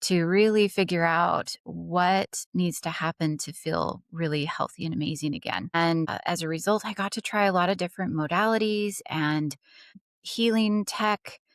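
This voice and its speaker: Woman, 40s, interview guest, low voice, dry sound, earnest and fluent. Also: moderate pace, no echo. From Dubai. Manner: earnest, fluent storytelling with occasional restarts. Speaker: podcast guest. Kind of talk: conversational interview answers.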